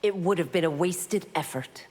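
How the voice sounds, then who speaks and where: An actress playing a stern, resolute law officer and warrior in a video game, with a firm, formal manner. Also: female voice, lowish voice, moderate pace, darkish tone, lightly acted. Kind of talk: video game heroine acting. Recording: reverberant arena with clear background noise.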